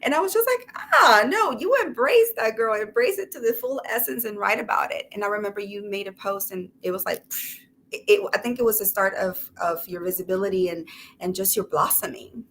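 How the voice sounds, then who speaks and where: A woman's voice: mid-range voice, fairly quick, fairly bright tone. A woman co-hosting a casual interview chat, encouraging and enthusiastic toward her guest. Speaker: co-host.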